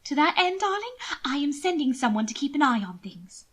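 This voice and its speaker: A woman's voice: high voice, sing-song, a little fast, a bit bright, pitched above the performer's own voice. A woman voicing a doting, manipulative upper-class young lady for an audiobook, coaxing and full of affected endearments. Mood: assured, persuasive. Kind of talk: audiobook character acting.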